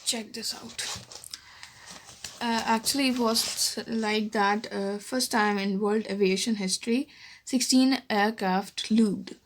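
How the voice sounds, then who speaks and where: A woman's voice: mid-range voice, fairly even intonation, slow pace, slight background noise. A woman casually showing and reading from a book as a vlogger, in an amateur recording. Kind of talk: casual book flip-through and read-aloud.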